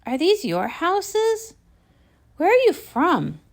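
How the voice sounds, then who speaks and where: A woman's voice: extremely high pitch, wildly swooping pitch, takes it slowly, voice pushed up, exaggerated voice. A woman voicing a kindly, determined young heroine pony in a storybook, encouraging and full of questions. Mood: reassuring. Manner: encouraging, inquisitive.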